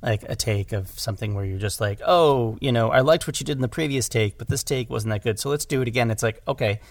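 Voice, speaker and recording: A man talking casually as an audio drama director, animated and rambling, repeating words for emphasis and joking along. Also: medium pitch, quick pace, dry recording, studio quality. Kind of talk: casual conversational discussion.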